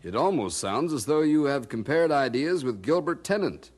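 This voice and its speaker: An actor playing a genial, skeptical colonial printer in an audio drama, speaking in a wry, amused, conversational way. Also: male voice, medium pitch, animated, normal speed, darkish tone, close to an everyday voice. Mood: wry, affable.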